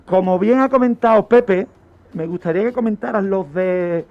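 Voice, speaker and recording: A man tells true-crime history on radio in a didactic way, with dramatic pauses and rhetorical questions. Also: sits high. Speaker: criminologist, radio segment contributor. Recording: dull lo-fi radio recording with background music.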